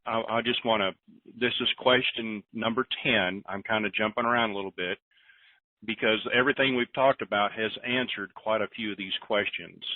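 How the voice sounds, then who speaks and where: A man's voice: lowish voice, fairly even intonation, normal speed, no room sound. A man hosting a conference call, reading listener questions aloud and answering in a rambling, self-deprecating, joking way. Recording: tinny phone line.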